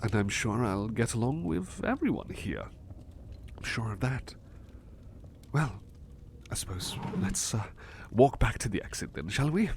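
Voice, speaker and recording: A man playing an eager, courteous butler in an ASMR roleplay, speaking formally and politely with flustered, excitable stammering. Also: mid-range voice, unhurried, gravelly, long pauses, a little hiss or hum. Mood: excited, polite. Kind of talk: ASMR roleplay acting, personal attention.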